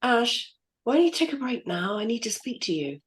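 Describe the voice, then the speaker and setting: A woman reading a gruff male supervisor. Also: a little high, very animated, normal speed. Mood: awkward. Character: gruff male hospital supervisor in his fifties. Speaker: actor in a script reading. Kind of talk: script read-through.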